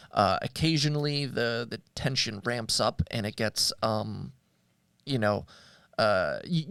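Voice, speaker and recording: Guy chatting as a cohost, gravelly fry in his voice, kinda hesitant, dry close sound. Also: medium pitch, dry recording. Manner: hesitant, thoughtful, searching for words. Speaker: co-host of a media show. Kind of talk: casual discussion.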